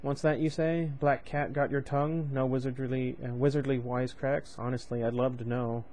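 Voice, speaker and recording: A man's voice: medium pitch, little pitch movement, normal speed, darkish tone, close to an everyday voice. A man putting on a nasal, smug teenage snitch. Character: snide prim teenage boy informer. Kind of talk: reading a novel aloud.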